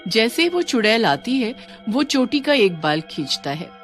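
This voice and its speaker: A woman's voice: fairly high pitch, sing-song, measured pace, soft background music. A woman reading a scripted horror story as its narrator.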